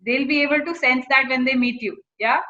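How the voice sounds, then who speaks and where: A woman with an Indian accent shares informal career advice as a corporate recruiter. Her voice sits fairly high and sounds very bright and crisp-edged, and she mixes Hindi phrases into her English. The audio is slightly dull and lo-fi. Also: fairly even intonation, a little fast.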